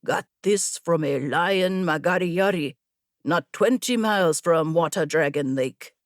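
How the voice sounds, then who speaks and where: A female narrator acts out a weathered old male rider from an audiobook tale. His voice is creaky and unhurried, with a wry, gruff manner, in a very dry sound. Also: lowish voice, no echo, close to an everyday voice. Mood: wry, weary. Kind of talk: audiobook character dialogue.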